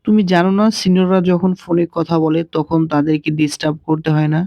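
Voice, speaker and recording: A man's voice: fairly high pitch, little pitch movement, fast, mellow, no room sound, muffled recording, close to an everyday voice. A man voicing a young uncle in a cartoon, scolding and impatient. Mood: annoyed. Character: young uncle, annoyed then flustered.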